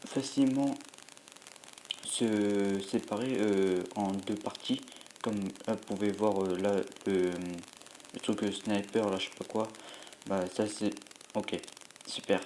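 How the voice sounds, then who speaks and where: A man's voice: on the low side, steady tone, a little slow, a little mellow, poor audio. Stuffy sick guy rambling over his game, lots of umms, tired, faint hiss behind. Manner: rambling, hesitant, full of filler sounds. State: has a cold, stuffy; tired from a sleepless night. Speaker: YouTuber playing a mobile game. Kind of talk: gameplay commentary.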